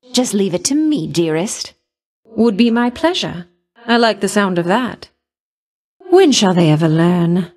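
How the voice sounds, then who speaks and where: A sultry goddess of love who purrs every line with slow, teasing charm. She takes her time and savours each word. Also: medium pitch, darkish tone. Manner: purring, flirtatious. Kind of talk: video game voice lines.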